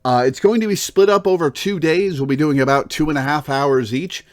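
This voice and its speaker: A man's voice: a little high, a little fast. A man hosting a livestream in a casual, rambling way, musing and thinking aloud as he goes. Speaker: livestream host. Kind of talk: casual rambling stream chat.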